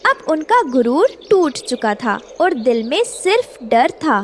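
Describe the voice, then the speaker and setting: Woman narrator, high voice, expressive pitch, scripted storytelling, noisy background. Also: takes it slowly. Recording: clear background noise.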